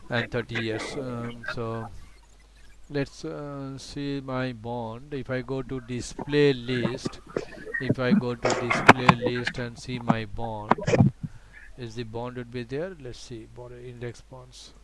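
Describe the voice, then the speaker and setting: A man's voice: medium pitch, level tone, slow pace, noisy background. A man whose first language is not English, teaching a live online trading class over a video call, talking with many fillers and restarts.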